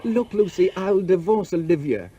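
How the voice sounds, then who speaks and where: Husky, lively cajoling British lover. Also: male voice, high voice, normal speed, highs cut off. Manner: pleading, cajoling, breezily dismissive. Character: smooth-talking, evasive married lover. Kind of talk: radio play acting.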